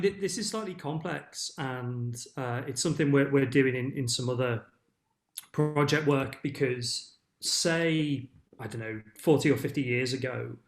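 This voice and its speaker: A man's voice: mid-range voice, echoey room. A man speaking as a researcher on a panel, thoughtful and hedging, with an academic tone. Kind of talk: panel discussion.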